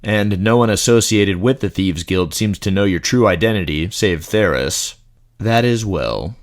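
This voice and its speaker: A man playing a male dark elf general, composed, commanding and formally courtly, in a fantasy audio drama. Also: on the low side, unhurried, natural voice. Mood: measured, guarded.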